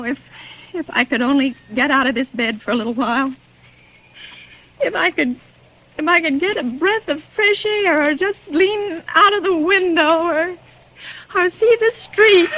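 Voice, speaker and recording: A frantic bedridden invalid. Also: female voice, extremely high pitch, measured pace, long pauses, a little hiss or hum. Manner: stammering, frantic, petulant. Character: nervous bedridden invalid woman, fretful and demanding. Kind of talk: 1940s radio acting. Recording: lo-fi vintage radio recording.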